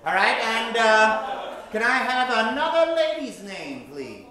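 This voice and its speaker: A man's voice: very high voice, very animated, unhurried. A man hosting a live improv comedy show, playfully working the audience from a theater stage. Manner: playful, repeats audience shouts back. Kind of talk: live comedy crowd work. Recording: very echoey theater, audible crowd.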